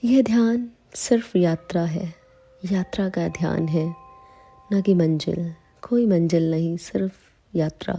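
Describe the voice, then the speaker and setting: A woman leading a guided meditation, calm and soothing, giving unhurried instructions with long pauses between phrases. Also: fairly low pitch, slightly rough. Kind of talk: slow guided meditation in Hindi.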